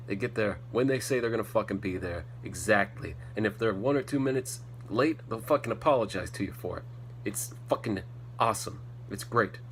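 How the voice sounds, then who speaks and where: An American man slightly congested from a cold, rambling casually and bluntly as a solo podcast host, swearing often. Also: fairly low pitch. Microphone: faulty setup, not the intended microphone. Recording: poor podcast audio with hum and noise.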